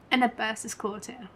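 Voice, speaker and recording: A woman's voice: a little high, slight background noise. A woman game streamer giving casual, chatty, giggly commentary as she plays. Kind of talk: casual live gameplay commentary. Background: game music.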